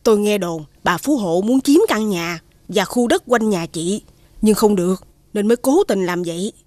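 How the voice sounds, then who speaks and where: A woman voicing a sensible, supportive village neighbour in an animated folktale, frank and reassuring. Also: medium pitch, moderate pace, dry recording, clean studio sound, natural voice.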